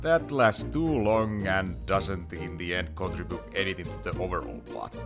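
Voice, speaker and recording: A man's voice: low voice, moderate pace. A man reviewing a webcomic in scripted narration, with a wry, theatrical manner. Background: music under the voice.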